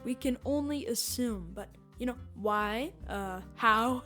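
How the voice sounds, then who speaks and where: A woman's voice: fairly high pitch, takes it slowly, a bit bright, faint music behind. A woman hosting a podcast review in a casual commentary style, speaking tentatively. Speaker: podcast host reviewing an audio drama.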